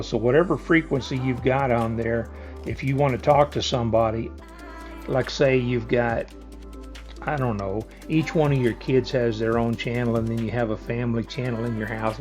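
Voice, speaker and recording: A man's voice: medium pitch, normal speed, a little mellow, faint music behind. A man giving a casual, plain-spoken hands-on product walkthrough, explaining things step by step. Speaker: product reviewer and demonstrator.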